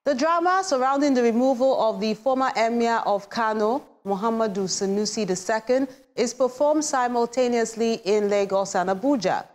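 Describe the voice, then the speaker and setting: A woman's voice: on the high side, normal speed, few pauses. A woman in her thirties with a Nigerian accent presenting a scripted television arts show as its host.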